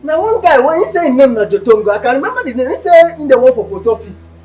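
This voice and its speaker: A woman speaking in a Nigerian Pidgin accent, hurried and chatty as she explains a letter. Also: sits high, very animated, dark tone, faint music behind. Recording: voice from far off the mic.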